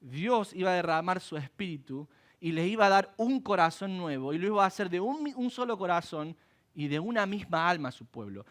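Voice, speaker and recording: Male pastor, high voice, animated preaching, rhetorical questions. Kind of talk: sermon. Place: church.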